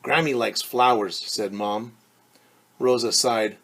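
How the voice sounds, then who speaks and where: A man's voice: mid-range voice, steady tone, slow pace, gravelly, fairly bright tone. A man teaching young children, reading a picture book aloud and patiently explaining it in simple terms with questions.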